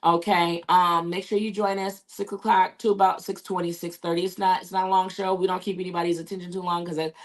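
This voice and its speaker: A woman with a hoarse, raspy voice from losing it, hosting a casual talk show and chatting along with many fillers. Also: on the low side, flat delivery, fast, hardly any pauses. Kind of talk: casual live show sign-off.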